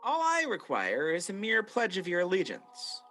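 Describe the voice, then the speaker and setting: A proud angel tempter played by a man, voice on the high side and lively in its swings. He speaks smoothly and grandly, as in a radio drama, with faint music underneath. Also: moderate pace, heavily acted. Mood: smooth, persuasive. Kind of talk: radio play acting. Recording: radio play recorded over video call, music behind.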